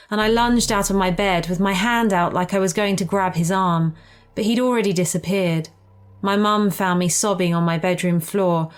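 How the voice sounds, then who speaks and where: A woman reading a personal ghost story aloud as a scripted first-person narration. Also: mid-range voice. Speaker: narrator reading listener-submitted true ghost stories. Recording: music playing under the voice. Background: background music.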